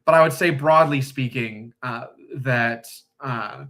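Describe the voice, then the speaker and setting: A man from the United States talks his way slowly through a point in a casual discussion, pausing often and restarting his sentences. His voice is bright and a little tense, sitting at an ordinary pitch for a man. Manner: thoughtful, hesitant, many pauses and restarts. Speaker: discussion guest.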